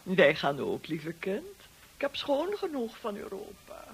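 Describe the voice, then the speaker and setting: An actress playing an imperious, gossipy older rich woman in a radio drama, husky and haughty, with a complaining drawl. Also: mid-range voice, huge pitch swings, measured pace, heavily acted. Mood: brisk, fed up.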